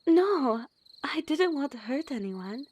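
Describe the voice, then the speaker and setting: A woman's voice: high-pitched, sing-song, slow, darkish tone, slight background noise, full character voice. A woman playing an earnest, plucky young military analyst in an audio drama, speaking expressively. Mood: candid, reflective.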